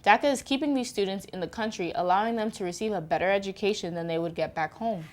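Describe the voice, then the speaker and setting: A woman's voice: mid-range voice, fast. A woman co-presenting a scripted news report, reading statistics from a script.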